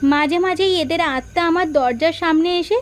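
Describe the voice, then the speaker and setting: A woman playing a frightened village mother in a horror cartoon, speaking gravely about being haunted. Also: very high voice, normal speed, slight background noise. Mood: fearful, grave.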